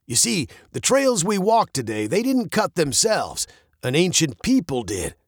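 A man's voice: on the high side, wildly swooping pitch, a little slow, slightly rough, no echo, studio quality, voice pushed up, heavily acted. A man voicing a protective captain in a storybook, a stern but caring father with a firm, commanding manner. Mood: storytelling. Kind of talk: storybook character voice.

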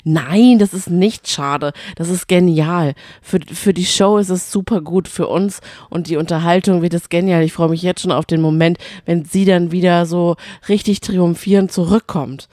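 A woman's voice: fairly low pitch, steady tone, moderate pace, few pauses, mellow, no echo, studio quality. A woman co-hosting a podcast, chatting casually about reality TV with gushing, emphatic opinions. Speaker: podcast co-host. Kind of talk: casual reality-TV recap chat.

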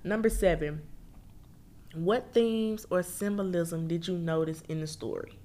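A woman's voice: fairly low pitch, steady tone, unhurried, warm tone, slight background noise. A woman from Memphis hosts a casual book review. She talks to her listeners in a chatty, slangy way. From Memphis, Tennessee.

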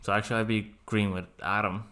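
A man's voice: lowish voice, little pitch movement, fast talker, pushed and bright. A man co-hosting a podcast, chatting casually and giving his opinion. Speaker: podcast co-host.

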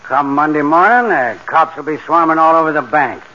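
An actor playing a grim bank robber in a 1940s radio drama, with a bitter, wry way of talking. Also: male voice, on the high side, brisk, bright, forceful tone. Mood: grim, resigned. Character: grim, sardonic bank robber, adult man. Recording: noisy, dull old radio recording.

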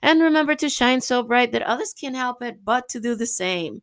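A woman's voice: on the high side, lively intonation, moderate pace, noisy background. A woman who speaks Spanish as her first language, hosting a casual, encouraging podcast monologue full of fillers and little laughs. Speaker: podcast host.

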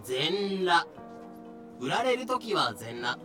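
A man narrating as a deadpan explainer in an audio drama, giving clipped, dictionary-like notes. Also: a little high, slow pace. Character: deadpan explainer of historical terms. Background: clear background music.

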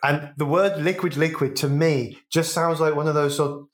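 A man in his forties hosts a casual podcast with a higher-than-usual voice. He rambles, backtracks and jokes about himself, with a loose, easygoing way of talking. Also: steady tone, normal speed. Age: 40s. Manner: rambling, self-deprecating, jokey. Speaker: podcast host.

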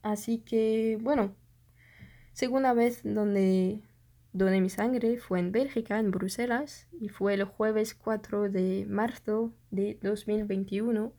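Young French-native woman vlogger; slow, mellow, hesitant delivery that gropes for words. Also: medium pitch, steady tone. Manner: hesitant, searches for words, many fillers. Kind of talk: casual storytelling to camera.

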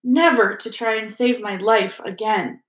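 A woman voicing an earnest, brave schoolboy wizard with an eager, hurried manner. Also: mid-range voice, reverberant, natural voice, rough recording. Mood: friendly, relieved.

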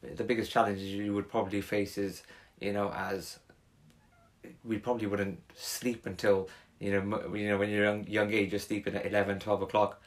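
Man, low-pitched, rambling casual chat, lots of ums and restarts. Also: flat delivery, moderate pace. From Wales. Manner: rambling, many fillers and restarts. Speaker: podcast guest. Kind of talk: casual conversation.

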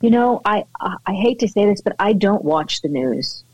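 A woman's voice: medium pitch, expressive, normal speed, a little mellow, slightly rough, dry recording, muffled recording. A woman actress chatting in an interview, effusive and full of fillers and restarts. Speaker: actress guest. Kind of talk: casual interview chat.

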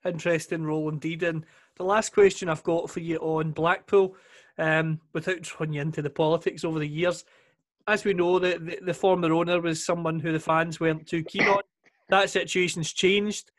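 A man interviewing a guest, asking measured, prepared questions. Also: fairly high pitch, little pitch movement.

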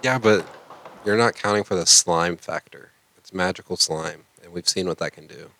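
A man's voice: deep voice, normal speed, creaky, pushed and bright. A man co-hosting a casual anime podcast, talking in blunt, opinionated, sweary banter. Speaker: podcast co-host.

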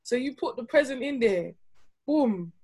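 A woman's voice: high-pitched, animated, measured pace, a little mellow, slightly rough. A woman co-hosting a casual podcast, teasing the others and laughing often.

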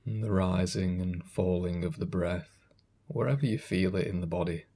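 Low-voiced man guiding a meditation. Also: slightly rough. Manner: calm, unhurried, gentle instructions with long pauses. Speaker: meditation guide. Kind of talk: guided meditation, spoken instruction.

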